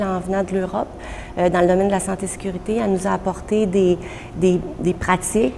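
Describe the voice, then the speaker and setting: Woman, gravelly voice, flat even delivery, hesitant fillers, echoey room with faint background noise. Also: medium pitch. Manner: measured, hesitant with many fillers. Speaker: human resources director. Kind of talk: on-camera interview.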